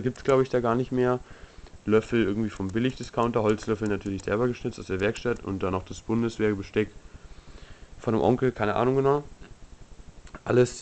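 A man's voice: lowish voice, a little mellow, a little hiss or hum. Casual young man with a cold. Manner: rambling, informal, many fillers and restarts. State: has a cold. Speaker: outdoor gear vlogger. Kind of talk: casual gear walkthrough. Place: indoors, a room with gear laid out on a blanket.

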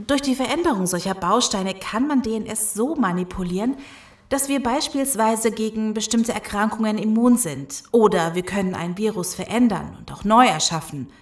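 An earnest female scientist played by an actress in a horror audio drama. Her voice sits at a middle pitch and rings with room echo. She sounds like someone explaining grim research. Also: lightly acted. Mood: explanatory. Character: earnest scientist woman explaining a zombie virus. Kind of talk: German audio drama acting.

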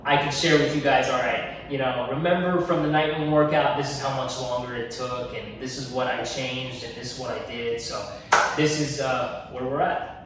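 A man's voice: mid-range voice, fairly even intonation, moderate pace, background noise. A young man hosting a fitness vlog in a home gym, talking in an earnest, encouraging way to camera. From Egypt. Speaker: fitness channel host. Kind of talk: casual fitness vlog talk. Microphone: camera at a distance. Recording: echoey room, mic at a distance.

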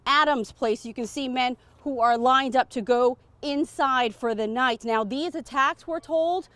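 A woman's voice: sits high, measured pace, fairly bright tone, dry recording. An American woman, a TV news reporter, giving a report outdoors on a city street. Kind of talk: news report, live and scripted. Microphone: clip-on lapel mic.

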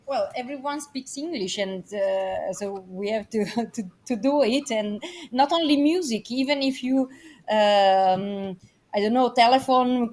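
A woman with an Italian accent speaking English as a guest on a video call, halting and full of fillers. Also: a little high, darkish tone. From Italy. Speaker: guest pianist. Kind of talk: casual chat.

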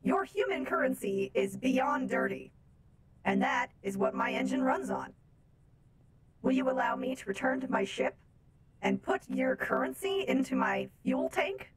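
A naive space robot from Venus played by a woman in an online game. The voice is high and swoops extremely widely in pitch. The phrasing is formal, stilted and very literal. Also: huge pitch swings, a little slow, exaggerated voice, low-quality recording. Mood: earnest bargaining. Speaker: streamer playing a roleplay game. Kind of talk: improvised game roleplay.

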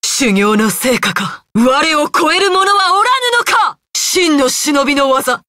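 A woman's voice: high voice, expressive, takes it slowly, pushed and bright, no echo, clean studio sound, heavily acted. A woman voicing a proud, stern, battle-hungry female ninja warrior in an anime game, with haughty, archaic, commanding speech. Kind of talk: Japanese anime video game voice acting.

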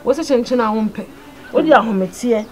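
A woman's voice: fairly high pitch, expressive, fast talker, soft background music, natural voice. A woman with a Ghanaian accent playing an insistent talker in a drama dialogue. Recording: noisy ambient location sound.

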